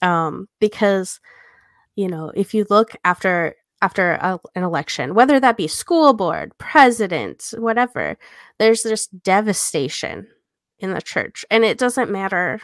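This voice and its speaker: A woman's voice: medium pitch, expressive, a little slow, no echo, studio quality. A woman co-hosting a podcast, explaining her thoughts casually and checking in with small tag questions. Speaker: podcast co-host.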